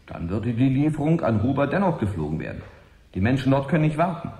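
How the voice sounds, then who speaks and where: A man's voice: mid-range voice, sing-song, fast talker, dark tone, roomy sound, natural voice. An actor playing a principled, wary and determined scientist in a radio drama, earnest and indignant in his delivery. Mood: subdued, resolute. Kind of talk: 1980s German radio drama acting.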